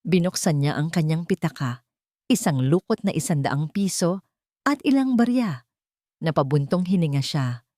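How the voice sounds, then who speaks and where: A woman with a Filipino accent narrating a scripted story in a measured, dramatic way. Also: deep voice, expressive, warm tone, no echo. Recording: dry studio voice over background music. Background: background music.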